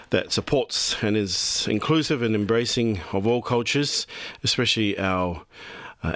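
A man's voice: sits low, no echo. A man hosting a community radio program from a studio, talking in a loose, rambling way with many restarts. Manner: warm-hearted, rambling, many fillers and restarts. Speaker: radio host. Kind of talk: community radio presenting and interviewing.

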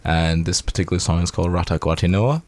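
A man's voice: low-pitched, fast talker, a little mellow, a little hiss or hum. A young man from Sri Lanka, a band's singer, chatting casually in an interview, slangy and laid-back with a lot of swearing. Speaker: band singer.

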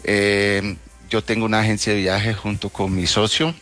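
A middle-aged man from the Netherlands, a native Dutch speaker, answering interview questions conversationally with halting, hesitant phrasing. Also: on the low side, little pitch movement, a bit bright, faint music behind. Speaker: interview guest, travel agency owner.

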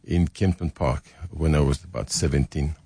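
A man's voice: extremely low pitch, fairly even intonation, normal speed, mellow, breathy edge, no echo. An older man in his seventies with a Greek accent, telling his story in a joking, self-deprecating way as a guest in a studio interview. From Greece. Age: 70s. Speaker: restaurateur guest. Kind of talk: casual interview chat. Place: radio studio.

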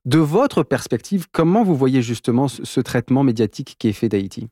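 Host: man, conversational, probing, mellow tone. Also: mid-range voice, lively intonation, a little fast, no echo, studio quality. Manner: conversational, probing, short affirmations. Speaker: radio interviewer. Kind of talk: interview questions.

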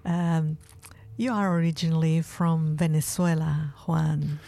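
Slow, dark-voiced woman radio host. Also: sits low, vocal fry, no room sound. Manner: relaxed, unhurried delivery with occasional fillers. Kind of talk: radio show presenting. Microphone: studio microphone.

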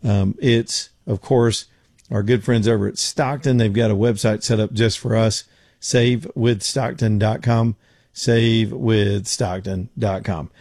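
A man hosting a talk radio show, hoarse and rambling, with lots of fillers and restarts. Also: on the low side, fairly even intonation, measured pace, a little mellow, dry recording, studio quality. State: getting hoarse. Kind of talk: casual talk radio with live read ads.

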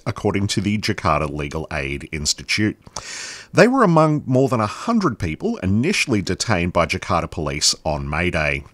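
A man's voice: sits low, a bit bright, no room sound. A man co-presenting radio news, reading from a script.

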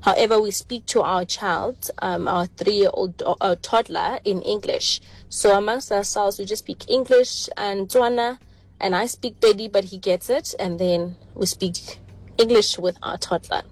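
A woman's voice: medium pitch, steady tone, unhurried, no echo, rough recording. A woman with a mid-range voice records a casual voice note for a radio show. She talks in a chatty, easygoing way. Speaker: caller sending a voice note.

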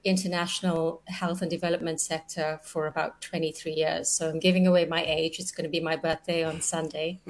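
A woman's voice: low-pitched, flat delivery, a little fast, few pauses, slight background noise, poor audio. A woman in her forties, a radio guest, speaking thoughtfully with many ums and restarts. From South Africa. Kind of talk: radio interview.

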